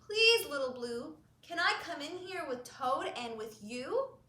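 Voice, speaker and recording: A woman's voice: high voice, sing-song, a little slow, bright, forceful tone, reverberant, exaggerated voice. A woman voicing a polite goat in a picture book who claims not to be afraid. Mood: pleading. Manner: pleading, then falsely brave. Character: goat, polite and a little scared.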